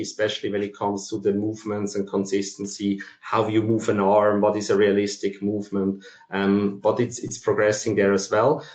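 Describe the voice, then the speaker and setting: A man with a Swiss accent giving an explanatory presentation in a halting way, with frequent ums. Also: fairly low pitch, darkish tone. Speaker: masterclass presenter. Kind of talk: explanatory conference presentation for non-technical listeners. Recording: roomy, echoing sound.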